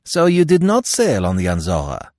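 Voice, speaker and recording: A man reading aloud, voicing a courteous, formal French magistrate who questions politely and gravely. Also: lowish voice, wildly swooping pitch, normal speed, darkish tone, no room sound, studio quality.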